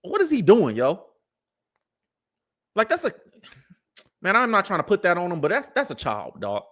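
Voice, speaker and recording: A man in his early thirties hosts a live commentary show with a high voice. He lectures his audience in a preachy way and uses a lot of street slang, talking straight at the people listening. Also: wildly swooping pitch, normal speed, a bit bright. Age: 30s.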